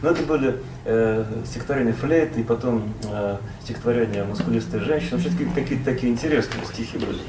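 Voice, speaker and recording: A man from Russia, a poet and translator, recalls his past as a guest in a relaxed, rambling conversation full of fillers and self-corrections. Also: mid-range voice, fast, hardly any pauses, reverberant, distant mic, noisy background.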